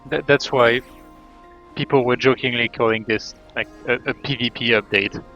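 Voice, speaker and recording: A man chatting casually on a live game stream in a halting, muttering way. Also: medium pitch, steady tone. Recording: dull lo-fi mic, faint game music.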